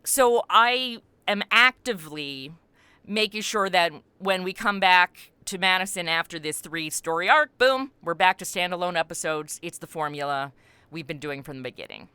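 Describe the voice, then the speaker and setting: A woman with a bright, forceful voice and very clean tone talks as a guest in an interview. She explains things in an expansive, animated storytelling style, and the sound is dry and close. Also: fairly low pitch, moderate pace, smooth, no echo. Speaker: podcast creator and writer, interview guest. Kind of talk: conversational interview answer.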